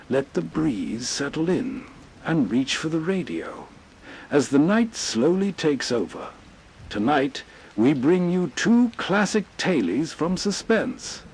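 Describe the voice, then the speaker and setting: A man's voice: a little high, darkish tone, husky. A man hosting a classic radio program with soothing, atmospheric scripted narration. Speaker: host introducing classic radio plays.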